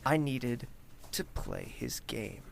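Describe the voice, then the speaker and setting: Audio drama lead: grave, guarded male leader, slow delivery, gravelly creak, ambient noise behind. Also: mid-range voice, huge pitch swings, heavily acted. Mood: disgusted, wary. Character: brooding, guarded male leader who employs mercenaries. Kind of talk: dramatic first-person narration in audio drama.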